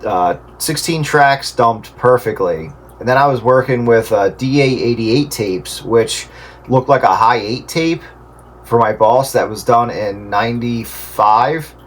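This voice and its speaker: A middle-aged man in casual radio chat, explaining things in a halting way. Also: mid-range voice. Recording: clear steady background noise.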